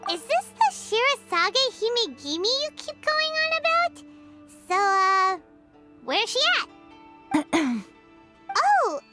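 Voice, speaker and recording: Anime game fairy sidekick: childlike, chatty, squeaky, wildly animated, music behind. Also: female voice, slow pace, long pauses, full character voice. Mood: curious. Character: tiny childlike fairy companion girl, perky and chatty.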